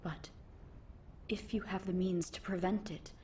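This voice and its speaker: A woman voicing a proud, solemn warrior from another era in a video game, with formal, measured phrasing. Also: on the low side, fairly even intonation, mellow, slight background noise, natural voice. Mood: formal persuasion.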